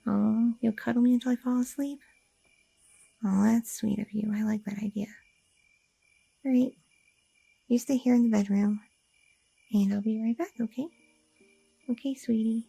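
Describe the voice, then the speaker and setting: A woman playing a breathy, anxious goth girlfriend. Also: fairly high pitch, fairly even intonation, normal speed, pauses often, no room sound, pitched below the performer's own voice, exaggerated voice, poor audio. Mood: sleepy, affectionate. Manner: soft, affectionate, a little hesitant, with fillers. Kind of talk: ASMR audio roleplay.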